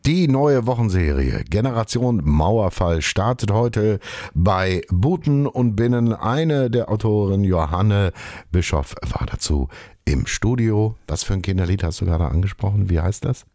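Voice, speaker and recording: A man anchoring a regional TV news show, moderating and interviewing a guest in the studio with a lively, emphatic delivery. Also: on the low side, a little slow, dry recording.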